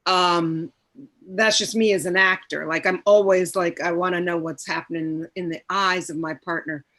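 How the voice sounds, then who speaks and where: Reflective, emphatic woman, slightly low voice. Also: steady tone, moderate pace, fairly bright tone. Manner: searching, emphatic, repeats "like". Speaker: stage actor in a cast discussion. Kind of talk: reflective panel conversation.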